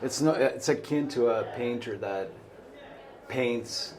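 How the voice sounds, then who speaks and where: A middle-aged man born in Portugal and raised in Canada, a bar owner chatting in an interview, rambling and halting, often trailing off quietly. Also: mid-range voice. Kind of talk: casual interview chat. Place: small bar. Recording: noisy bar ambience behind.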